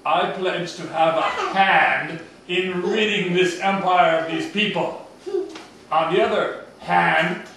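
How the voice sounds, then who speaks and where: Man playing a pompous, high-pitched puppet villain. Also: sharp tone, heavily acted. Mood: scheming. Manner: pompous, sneering, theatrical. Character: cruel, scheming male villain, pompous second-in-command to a king. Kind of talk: amateur puppet show acting for children. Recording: echoey room, distant mic, background noise.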